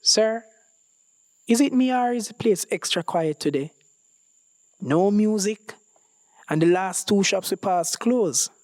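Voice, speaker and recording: An excitable young schoolboy from an audio short story, acted out by a man reading aloud. He is playful and curious, full of questions and exclamations. The pitch lifts high, and the sound is dry with almost no room. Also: takes it slowly, long pauses, no echo. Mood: uneasy.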